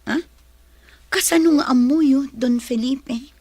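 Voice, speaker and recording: A woman's voice: high-pitched, slow pace, darkish tone, breathy edge, no room sound, full character voice. A woman playing a feisty, scolding but loyal village wife in an Ilocano radio comedy drama. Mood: firm, defensive.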